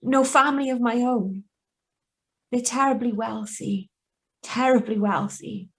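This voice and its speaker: A troubled young woman played by an actress with a fairly high voice. She is hesitant and brooding, as the heroine of a radio suspense play read live over a video call. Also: unhurried, a bit bright. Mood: brooding, reflective. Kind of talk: radio drama acting with first-person narration. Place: remote, on a Zoom video call.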